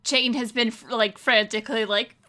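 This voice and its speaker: Woman, somewhat high voice, bright sharp tone, casual improvised tabletop roleplay, conversational player. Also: steady tone, moderate pace.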